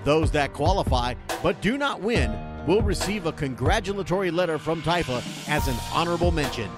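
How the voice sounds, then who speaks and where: A man's voice: a little high, lively intonation, brisk. A man reading a scripted radio announcement as an announcer. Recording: produced spot over loud music bed. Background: loud music.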